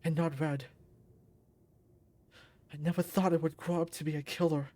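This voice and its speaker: A woman's voice: low-pitched, fairly even intonation, normal speed, dark tone, no echo, pitched below the performer's own voice, lightly acted. A woman voicing a brooding, guilt-ridden young male fighter in a visual novel, subdued, introspective and bitterly wry. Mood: guilty, mournful.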